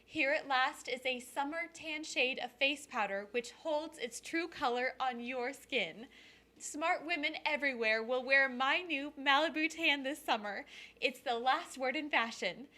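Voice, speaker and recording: A glamorous cosmetics saleswoman acted by a woman in a vintage radio commercial. The voice is high and bright, gushing over every carefully enunciated phrase. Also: measured pace. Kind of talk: 1940s radio advertisement.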